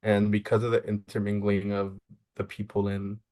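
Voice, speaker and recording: Young male social worker, flat even delivery, earnest and measured, interview guest. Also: fairly low pitch. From Maryland, United States. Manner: earnest, measured, thinks aloud. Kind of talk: reflective interview answers.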